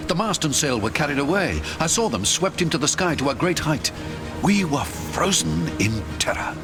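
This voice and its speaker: A man's voice: fairly high pitch, sing-song, moderate pace, music in the background. A man narrating an adventure story in a dramatic, theatrical scripted style.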